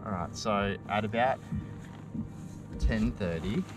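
A man's voice: lowish voice, takes it slowly, music in the background. A man with an Australian accent chatting and joking on a fishing boat outdoors. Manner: animated, laughing banter. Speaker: tournament angler. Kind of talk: casual chat while fishing.

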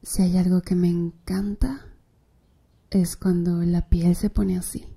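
A woman's voice: on the low side, flat delivery, slow, creaky, warm tone, breathy edge, exaggerated voice. A woman playing a flirtatious, teasing female tattoo artist in an ASMR roleplay, murmuring breathily and seductively to the listener. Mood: seductive, intimate. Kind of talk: Spanish-language ASMR roleplay.

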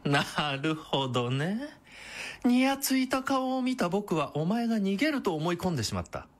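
A woman's voice: medium pitch, big pitch swings, moderate pace, close to an everyday voice. An actress playing a sadistic, taunting female villain in a radio drama, with a sneering, theatrical delivery. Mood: gloating, mocking. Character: sadistic, taunting female villain who calls herself boku.

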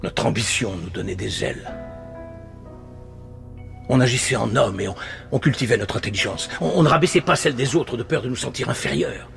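An actor playing a jaded middle-aged news anchor in a dubbed TV drama, speaking in a biting, emphatic, rhetorical manner. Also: male voice, mid-range voice, expressive, fast, music in the background, natural voice. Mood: wistful, solemn. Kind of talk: dramatic monologue in a dubbed TV series.

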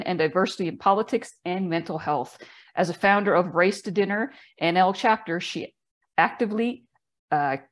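Woman, from Newfoundland, podcast host, somewhat low pitch, halting repeats, enthusiastic, home office, video call. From Newfoundland, Canada. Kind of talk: interview hosting. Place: home office with bookshelves, indoors. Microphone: video call with earbuds.

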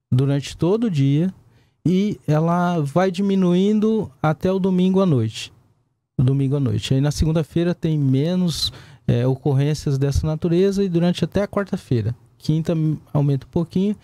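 A Brazilian man in his forties explaining things as a police commander in a studio interview, haltingly and with frequent tag questions. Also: a little high, warm tone, no room sound. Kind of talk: live studio interview answers. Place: small indoor broadcast studio. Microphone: desk gooseneck microphone.

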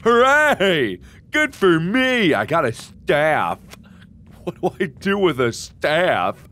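A man with a hoarse voice giving jokey let's-play commentary into a headset, with sudden excited yelps. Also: a little high, slow. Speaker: gaming video host.

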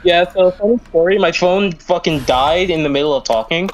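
A man's voice: high voice, moderate pace. A man chatting casually and jokingly with friends during a game. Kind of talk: casual chat.

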